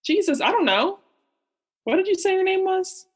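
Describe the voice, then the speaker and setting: A woman's voice: very high-pitched, lively intonation, moderate pace. A young woman playing a naive, oblivious male oceanographer in a student radio play, earnest and melodramatic. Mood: bewildered, defensive. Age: young adult.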